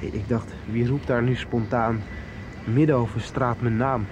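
A man's voice: mid-range voice, fairly even intonation, moderate pace, lo-fi sound, noisy background, lightly acted. An actor playing an earnest, doubting young man in a radio soap, speaking in a hesitant, sentimental way. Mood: tender, hesitant.

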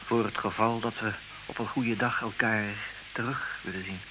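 An actor playing an intelligent, possessive, ironic husband in an old radio play, speaking earnestly in theatrical diction. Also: male voice, on the low side, fairly even intonation, normal speed, close to an everyday voice. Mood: tentative, hopeful. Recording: hissy old radio recording, clear noise.

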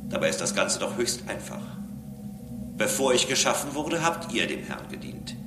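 An actor playing a condescending, devout robot with a formal, measured manner in a German radio drama. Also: male voice, mid-range voice, huge pitch swings, distant mic, music in the background. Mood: patronizing calm. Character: robot convinced it serves a higher Lord, condescending and calm. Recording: roomy echo, clear background hiss.

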